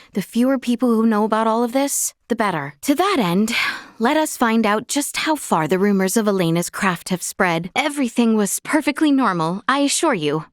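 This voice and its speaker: A gracious young noblewoman from a fantasy video game, acted by a woman. Her voice sits on the high side and is recorded very dry and close. She speaks with formal, archaic courtesy and an earnest, resolute temper. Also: dry recording, natural voice. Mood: calm, measured.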